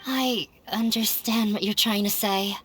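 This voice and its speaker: A woman's voice: mid-range voice, steady tone, measured pace, a bit bright, a little hiss or hum, close to an everyday voice. A woman voicing a blunt, pessimistic young woman, a scholar's daughter, in a game cutscene. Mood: gloomy.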